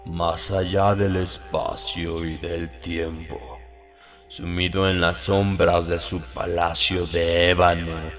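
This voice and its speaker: A man's voice: deep voice, voice pushed down. A man voicing an ominous ancient book of the occult, reciting solemnly like an incantation in a horror audiobook.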